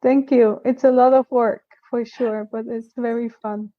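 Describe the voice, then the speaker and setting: A woman raised in Switzerland talks earnestly as a guest scientist, recounting her path with small restarts. Her voice sits fairly high but stays level and even in pitch. The sound is dull and lo-fi, like a remote call. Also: measured pace, no room sound. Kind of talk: casual interview answers.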